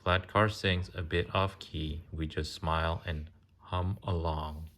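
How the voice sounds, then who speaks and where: Deep-voiced guy reading a kids book slowly, chatty asides, kinda noisy home recording. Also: fairly even intonation, a bit bright. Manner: interactive, pausing to ask and explain. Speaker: adult reading a picture book to a child. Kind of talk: casual read-aloud with chatty asides.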